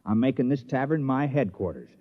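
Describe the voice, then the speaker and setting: An actor playing a reluctant, guileless, folksy villager in an old radio drama, hesitant and plain-spoken. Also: male voice, medium pitch, animated, brisk, lightly acted. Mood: puzzled, earnest. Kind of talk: mid-century radio comedy-drama acting. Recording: muffled vintage radio recording.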